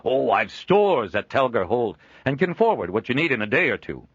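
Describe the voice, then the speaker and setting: A man doing a booming jolly trader. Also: big pitch swings, moderate pace, breathy edge, no room sound, highs cut off. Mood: jovial. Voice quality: hearty, booming, laughs often. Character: jovial middle-aged male traveling trader.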